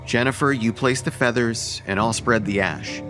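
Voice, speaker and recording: A young man playing a bossy, practical college student in an audio horror drama, brisk and sardonic. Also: mid-range voice, over music, natural voice. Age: young adult. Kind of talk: radio horror drama acting.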